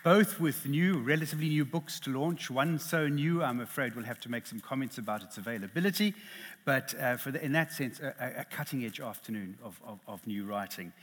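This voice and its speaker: Middle-aged South African male panel chair, husky, self-deprecating, echoing hall. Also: medium pitch, brisk. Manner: self-deprecating, hesitant, lots of restarts. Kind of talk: hosting a literary panel. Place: lecture hall. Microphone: headset. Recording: reverberant hall.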